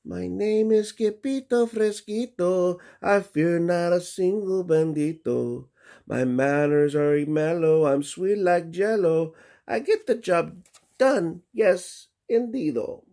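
A man's voice: mid-range voice, huge pitch swings, slow, creaky, breathy edge, voice pushed up, exaggerated voice. A man voicing a playful young kitten in a storybook, with a put-on Spanish accent and sing-song delivery.

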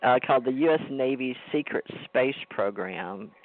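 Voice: mid-range voice, lively intonation, moderate pace, no room sound, phone-quality sound. A man hosting a casual talk show, rambling and interrupting himself as he asks a guest questions. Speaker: host.

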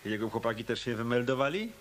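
An actor playing a shrewd hard-boiled detective in a radio drama, speaking in a dry, deliberate, probing way. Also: male voice, mid-range voice, animated, slight background noise, close to an everyday voice. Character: shrewd hard-boiled male hotel detective turned private eye. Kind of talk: Polish radio drama dialogue.

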